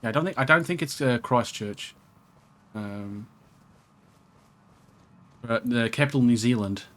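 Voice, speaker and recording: A man's voice: medium pitch, measured pace, a bit bright. A man chatting casually in a rambling, jokey, sweary way as he plays a co-op video game. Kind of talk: casual co-op let's play chat.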